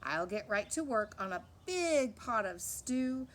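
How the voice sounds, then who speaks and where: A woman's voice: medium pitch, expressive, unhurried, a bit bright, a little hiss or hum. Kindly old eccentric storybook lady, read by a woman. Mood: brisk, welcoming. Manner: chatty, rhythmic rhyming speech.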